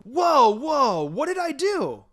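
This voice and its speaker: A man voicing a brash, excitable teenage boy ninja in a story reading, firing off exclamations and questions. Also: extremely high pitch, voice pushed up, heavily acted. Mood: excited, indignant. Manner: exclaiming, rapid-fire questions.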